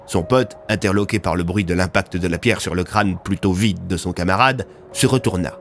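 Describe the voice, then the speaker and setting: Un homme qui raconte une fiction audio, en narration dramatique écrite. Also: male voice, low-pitched, moderate pace, a bit bright, slight background noise. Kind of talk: scripted dramatic story narration.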